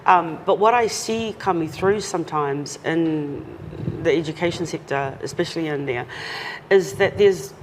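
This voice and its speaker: A woman's voice: low voice, moderate pace. A woman guest talking earnestly and carefully in an interview. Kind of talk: casual interview talk. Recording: long reverb, faint noise.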